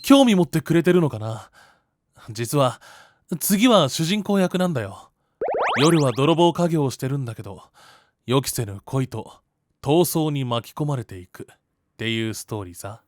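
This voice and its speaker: A man playing a kind, perceptive high school boy who gently teases and reassures, in an anime-style voice drama. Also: lively intonation, moderate pace, background noise, close to an everyday voice. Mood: friendly, eager.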